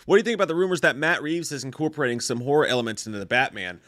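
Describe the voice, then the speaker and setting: A slightly hoarse man co-hosting a livestream, reading out viewer comments and riffing playfully in casual, joking chat. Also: mid-range voice, animated, very fast, fairly bright tone, no room sound, studio quality. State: hoarse after drinking.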